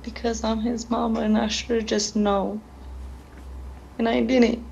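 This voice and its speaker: A woman's voice: on the high side, level tone, unhurried, vocal fry, a little mellow, lo-fi sound, slight background noise. A grieving woman answering interview questions in an earnest, emotional, plain-spoken way, often repeating her phrases. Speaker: bereaved mother being interviewed.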